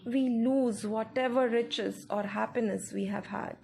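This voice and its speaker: A woman narrating a scripted guided meditation in a measured, instructive way. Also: a little high, fairly even intonation, vocal fry. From India. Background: background music.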